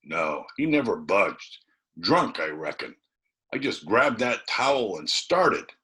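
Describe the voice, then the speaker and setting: A man voicing a frightened, excited adventurous small-town boy in a storybook, talking in a breathless, folksy rustic way. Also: on the low side, slow, voice pushed up, full character voice. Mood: animated. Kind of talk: dramatised storybook reading.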